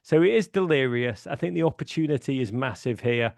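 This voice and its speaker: A man's voice: mid-range voice, animated, brisk, creaky, a little mellow, dry recording. A middle-aged man from Liverpool with a Liverpool accent, a podcast guest telling stories with enthusiasm, often restarting his sentences. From Liverpool, England. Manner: enthusiastic storyteller, many restarts and fillers. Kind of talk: casual podcast chat.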